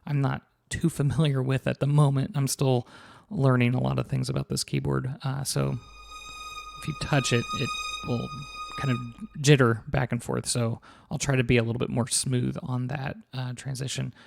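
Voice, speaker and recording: A man with a hoarse, scratchy voice, casually walking through a software instrument as a reviewer, with many fillers and restarts. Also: mid-range voice, normal speed. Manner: relaxed, filler-heavy, restarts sentences. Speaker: sample library reviewer. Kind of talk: casual product walkthrough.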